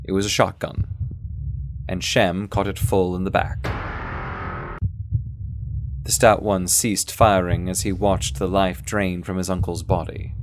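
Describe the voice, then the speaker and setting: A man reading a scripted first-person story in a measured storytelling manner. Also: sits low, noisy background. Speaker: audio fiction narrator.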